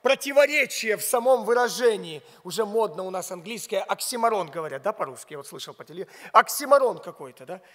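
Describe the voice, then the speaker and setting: A man in his forties preaching a sermon from a pulpit in a large church. He speaks a language that is not his first, with emphatic rhetorical questions and words dropped to a hush. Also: high voice, expressive, fast, few pauses. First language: not Russian. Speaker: pastor. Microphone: pulpit mic. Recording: long hall reverb.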